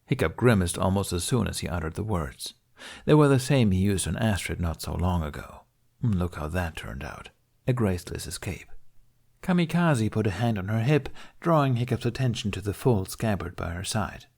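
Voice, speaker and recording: A man's voice: low voice, sing-song, normal speed, creaky, a little mellow. A man reading a story aloud as an audiobook narrator in a scripted, storytelling style.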